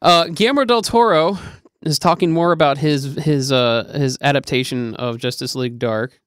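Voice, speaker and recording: Guy co-hosting a nerdy talk show, clear smooth voice, close dry sound, chatty. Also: a little high, normal speed, a bit bright, dry recording. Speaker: podcast co-host giving comic book news. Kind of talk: casual panel chat.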